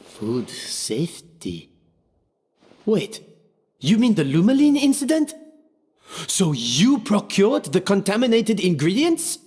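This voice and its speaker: A man playing a composed, responsible figure of authority in an anime game, measured and articulate. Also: high voice, wildly swooping pitch, darkish tone, breathy edge, exaggerated voice. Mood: surprised.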